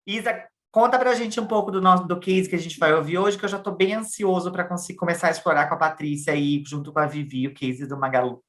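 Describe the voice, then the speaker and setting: A woman's voice: on the low side, steady tone, quick pace, few pauses. A Brazilian woman hosting a podcast with casual, bantering chat. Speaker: podcast host.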